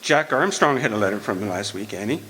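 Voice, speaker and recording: Man playing a sharp-voiced, folksy small-town needler. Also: on the low side, lively intonation, fast, a little hiss or hum. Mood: needling, skeptical. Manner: plain rustic speech, insinuating. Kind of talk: radio drama acting. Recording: reverberant hall.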